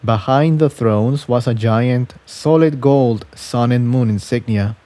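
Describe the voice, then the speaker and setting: A man narrating a scripted adventure story as a reader of fan fiction, with a somewhat halting delivery that stumbles over words. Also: medium pitch, warm tone.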